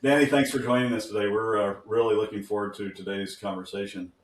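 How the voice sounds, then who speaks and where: A man's voice: lowish voice, steady tone, quick pace. Brief: male co-host, casual and rambling, roomy far-off recording. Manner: easygoing and rambling, with frequent fillers and self-corrections. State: groggy. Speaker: podcast co-host. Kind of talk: casual interview talk. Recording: roomy sound from a distant mic.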